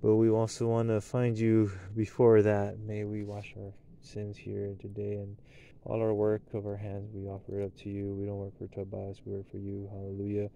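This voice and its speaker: Dark-toned man leading outdoor prayers. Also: on the low side, monotone, moderate pace, gravelly, few pauses, slight background noise, rough recording. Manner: prayerful, rising into loud chanted blessings. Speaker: leader of a Sabbath blessing. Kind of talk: spoken prayers and blessings. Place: outdoors at night at a picnic table.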